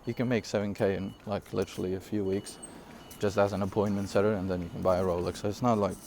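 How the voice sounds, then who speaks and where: A young man from Syria with a low-pitched voice, for whom English is not his first language, delivers an energetic, rambling business pitch. He fills it with quick asides, fillers, and frequent laughs. A faint ambient noise sits behind him. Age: 20s. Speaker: online business coach. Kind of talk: casual direct-to-camera talk.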